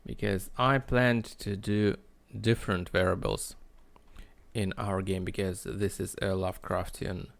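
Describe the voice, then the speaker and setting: A man narrating a screen-recorded coding tutorial in a halting, explanatory way. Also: sits low, little pitch movement, gravelly. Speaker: tutorial host.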